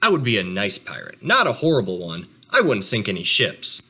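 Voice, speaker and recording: A man voicing an excitable young boy turtle in a storybook, eager and dreamy, with words tumbling out. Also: on the low side, brisk, breathy edge, voice pushed down, heavily acted. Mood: excited.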